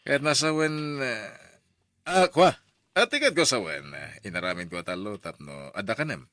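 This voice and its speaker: A man's voice: lowish voice, animated, normal speed, a bit bright, no echo. A man acting a flirtatious married brother-in-law in a radio drama, coaxing and hesitant with murmured hums.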